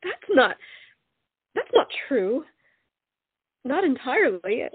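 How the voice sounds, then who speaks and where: A woman's voice: high-pitched, big pitch swings, takes it slowly, husky, phone-quality sound, heavily acted. A woman playing a proud, dramatic young lady in love, indignant and melodramatic, in a period stage comedy. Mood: rueful.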